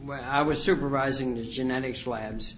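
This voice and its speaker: A man in his sixties telling his recovery story at a conference, rambling and halting with many fillers. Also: medium pitch, fairly even intonation, dull audio, slight background noise. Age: 60s. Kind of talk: personal testimony talk. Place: conference hall.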